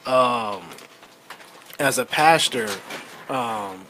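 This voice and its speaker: Bright-voiced earnest man, noisy recording. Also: medium pitch, expressive. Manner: earnest, devout, halting delivery. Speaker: pastor and playwright, interview guest. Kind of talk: casual interview talk.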